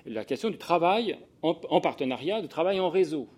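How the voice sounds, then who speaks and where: A man's voice: a little high, expressive, fairly quick, a little mellow. A man in his sixties from the Paris region giving an informal lecture with personal anecdotes, often breaking off, repeating words and circling back as he goes. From Paris region, France. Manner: hesitant, digressive delivery with self-interruptions and repeated words. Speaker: sociologist giving a talk to social work professionals.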